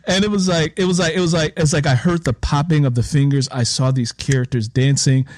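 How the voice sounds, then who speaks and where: A man talking casually as an author in an interview, telling stories in an animated way and repeating his phrases as he goes. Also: fairly high pitch, a little fast, dry recording. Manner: animated storytelling, repeats phrases and acts out quotes. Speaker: author in conversation about writing.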